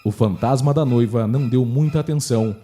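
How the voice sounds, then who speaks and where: A man's voice: mid-range voice, fairly quick, mellow. A man with a Brazilian accent narrating a scripted horror story for radio drama. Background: faint music.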